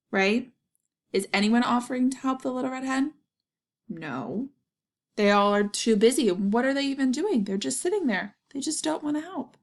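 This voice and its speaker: A woman with a noticeably creaky voice at a middle pitch reads a picture book aloud. She narrates for children and breaks off to ask them what they notice and think. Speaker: storyteller reading to children. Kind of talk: read-aloud narration with questions to young listeners.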